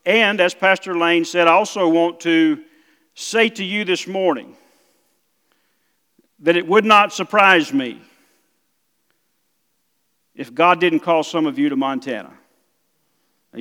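Middle-aged South Mississippi preacher, halting delivery, slightly high voice, reverberant sanctuary. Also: male voice, pushed and bright. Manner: halting, many fillers. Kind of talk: sermon. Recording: church hall reverb.

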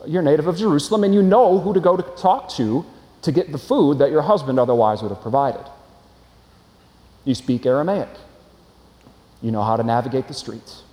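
A man's voice: fairly high pitch, brisk, pauses often, dark tone. A man preaching a sermon as pastor, earnest and explanatory, asking his congregation rhetorical questions. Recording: long hall reverb.

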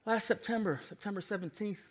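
American guy in his 40s preaching, pretty high voice, really emphatic, drops quiet then gets loud. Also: brisk. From Long Island, New York, United States. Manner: emphatic, repeating a refrain, swinging from hushed asides to loud exclamations. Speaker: pastor preaching. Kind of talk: sermon.

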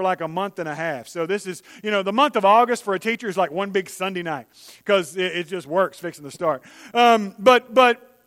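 A man's voice: very high voice, a bit bright. A man preaching a conversational sermon, often restarting his sentences. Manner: conversational, with many restarts and acted-out quotes. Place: church. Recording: long church-hall reverb.

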